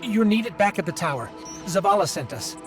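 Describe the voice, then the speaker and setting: Expressive, high-pitched anxious robot companion. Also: male voice, a little fast, faint music behind. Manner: worried, urgent, expressive. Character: small floating robot companion, male, anxious and earnest. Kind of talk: video game voice acting.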